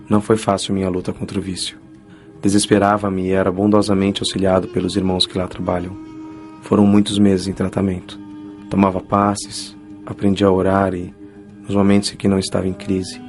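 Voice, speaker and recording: A remorseful young male spirit played by a man tells his story in a lowish voice with little swing in pitch. He is an earnest recovered addict in an audio drama, with soft music underneath. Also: close to an everyday voice.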